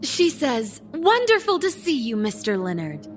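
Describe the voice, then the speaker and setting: Polite female interpreter, upbeat, highish voice with animated intonation, radio drama. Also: measured pace, soft background music, full character voice. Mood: polite, cheery. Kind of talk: audio drama acting.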